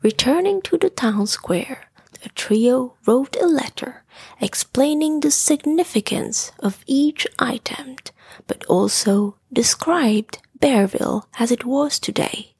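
A woman narrates a children's bedtime story in a fairly high voice with husky, creaky edges. She reads slowly, and the recording is close and dry. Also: animated, darkish tone, no echo.

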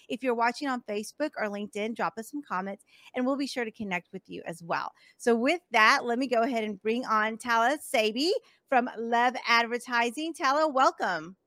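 A woman hosting a professional association podcast, upbeat and effusive, warmly affirming her guest. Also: on the high side, dry recording. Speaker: podcast host. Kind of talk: enthusiastic podcast interview.

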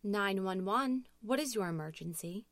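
A woman voicing a female emergency dispatcher who is trying to stay calm, firm and insistent with urgent questions. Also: mid-range voice, moderate pace, no room sound, close to an everyday voice. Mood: firm concern.

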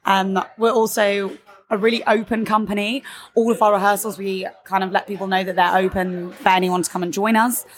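A woman, a theatre company member, chatting casually in an interview with many ums and restarts; her voice is slightly husky today. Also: medium pitch, level tone, a little fast.

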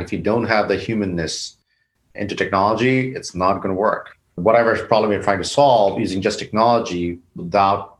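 Low-voiced man reflecting in an interview. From Bangladesh. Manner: reflective, explains in steps, repeats words. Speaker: company founder, guest. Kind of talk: interview conversation.

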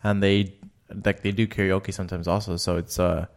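A man's voice: sits low, little pitch movement, normal speed. A man chatting casually on a podcast, rambling with lots of fillers and restarts. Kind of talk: casual chat.

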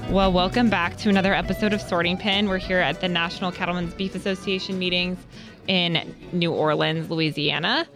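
A woman hosting a podcast, chatting casually and conversationally with her guests. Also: fairly low pitch. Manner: friendly, conversational interviewer. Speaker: podcast host. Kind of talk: casual interview.

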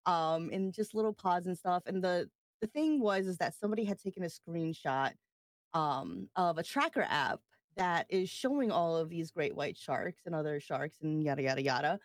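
A woman's voice: on the low side, normal speed, no room sound. Giggly woman co-host, dry close sound. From US East Coast. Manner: giggly, animated, swears casually. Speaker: podcast co-host. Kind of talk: casual chat.

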